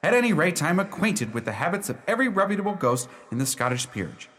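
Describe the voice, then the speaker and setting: A reverberant hall carries the voice of an unruffled, theatrical gentleman lawyer in a radio drama. He is formal and matter-of-fact, with a slightly high pitch that rises and falls dramatically. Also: very animated, quick pace. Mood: formal, self-assured. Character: droll, unruffled lawyer of Scottish descent who owns a haunted house. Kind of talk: radio play storytelling and dialogue.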